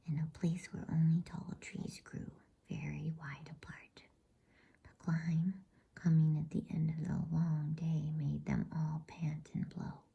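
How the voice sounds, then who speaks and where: A woman reads a storybook aloud as ASMR in a hushed, unhurried, breathy whisper meant to soothe. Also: deep voice. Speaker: reader of bedtime stories.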